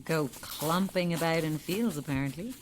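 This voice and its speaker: A woman's voice: deep voice, moderate pace, slight background noise, close to an everyday voice, rough recording. A woman acting a sceptical, sardonic Irish journalist in an audio drama, blunt and challenging. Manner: challenging, dry.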